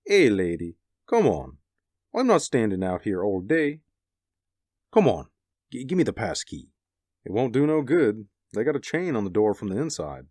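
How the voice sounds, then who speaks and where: Gruff new cop, pushy and impatient, old radio drama. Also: male voice, on the low side, big pitch swings, moderate pace, pauses often, a little mellow, no echo, studio quality. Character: brusque, no-nonsense city policeman. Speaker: police officer. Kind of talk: 1940s radio acting.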